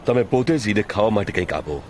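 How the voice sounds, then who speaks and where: A man with a Kathiyawadi Gujarati accent playing Jesus as a calm, authoritative teacher in an audio drama, speaking in a measured, earnest way. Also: lowish voice, a little hiss or hum, close to an everyday voice. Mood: solemn. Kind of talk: audio drama acting, preaching.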